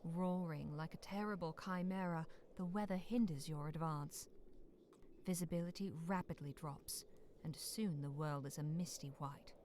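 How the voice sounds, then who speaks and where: A woman narrating a bleak, frozen monster-hunting visual novel as a solemn storyteller, with phrases sinking into hush. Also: low-pitched, normal speed, natural voice. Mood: ominous. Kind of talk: scripted second-person dramatic narration. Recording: clear noise behind the voice.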